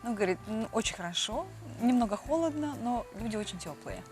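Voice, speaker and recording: A woman interpreting live in a TV studio, relaying a guest's words in a quick, chatty manner. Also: fairly high pitch, expressive, a little mellow, soft background music. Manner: quick, chatty, laughing between phrases.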